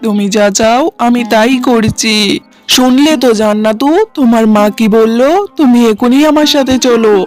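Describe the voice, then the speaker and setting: A woman's voice: fairly high pitch, steady tone, normal speed, darkish tone, lightly acted. A woman voicing a weak-willed father who dismisses his daughter and placates his mother-in-law in a cartoon. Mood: dismissive. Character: weak-willed father, grown man, siding with new wife. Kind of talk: cartoon voice acting. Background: music.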